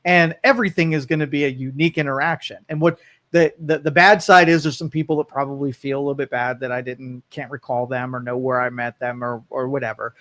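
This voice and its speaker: A man's voice: medium pitch, steady tone, fast talker, fairly bright tone, no echo. A man with an Ohio accent hosting a local talk podcast, talking casually in a rambling way. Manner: easygoing, rambling, with fillers and restarts. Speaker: podcast host. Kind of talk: casual chat.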